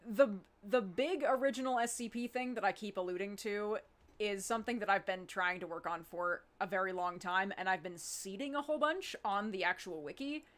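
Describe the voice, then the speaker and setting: A woman talking informally in a live Q&A as a show's creator, thinking aloud and pausing as she goes. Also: medium pitch, a bit bright. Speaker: audio drama writer and producer. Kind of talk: casual chat in a live Q&A.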